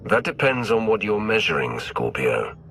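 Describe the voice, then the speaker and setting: An actor playing a steady rebel alliance commander in a video game, with a terse, wry way of talking. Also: male voice, lowish voice, normal speed, a little hiss or hum.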